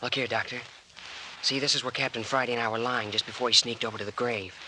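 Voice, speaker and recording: A man's voice: mid-range voice, fairly even intonation, fast talker, sharp tone. An actor playing an earnest, stubborn young man with a protective streak, in old-time radio drama style. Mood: insistent. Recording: noisy vintage radio recording.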